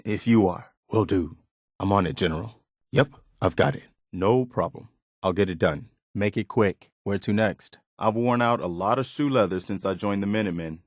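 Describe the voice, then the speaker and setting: Earnest, low-voiced militia man, dry recording. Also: normal speed, no echo. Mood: dutiful, easygoing. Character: earnest, dutiful militia man, loyal and plain-spoken. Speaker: video game voice actor. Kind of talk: naturalistic video game dialogue.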